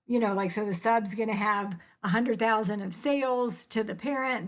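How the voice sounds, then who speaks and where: Woman, American accent, creaky voice, mid-range pitch, instructor talking through slides, colloquial and explanatory. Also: a bit bright. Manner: colloquial, explanatory, with casual contractions and check-ins. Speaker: accounting instructor. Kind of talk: informal lecture explaining slides.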